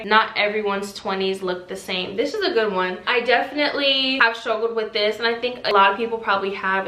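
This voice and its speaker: A woman in her twenties, a faith vlogger answering viewer questions in a talkative, animated way, exhorting listeners with rhetorical questions. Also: mid-range voice. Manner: talkative and animated, self-described loud yappy voice. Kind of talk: casual faith chat, preachy Q&A. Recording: echoey room.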